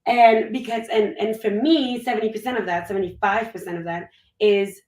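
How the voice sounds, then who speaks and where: A woman whose first language is French speaks in a candid, upbeat manner, stringing her thoughts together loosely. She is heard from some distance in an echoey room. Her pitch sits in an ordinary middle range. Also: fairly even intonation, moderate pace, a little mellow. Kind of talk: casual conversation.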